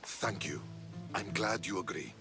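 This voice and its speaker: An actor playing a measured, principled Spartan soldier in a video game, reasoning carefully. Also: male voice, fairly low pitch, over music, natural voice. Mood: earnest. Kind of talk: dramatic video game acting.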